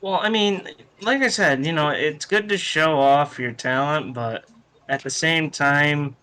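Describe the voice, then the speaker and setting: A man's voice: on the high side, a little slow, pushed and bright. A man co-hosting a wrestling podcast, chatting casually and bluntly with some swearing. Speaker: podcast co-host. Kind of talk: casual wrestling review chat.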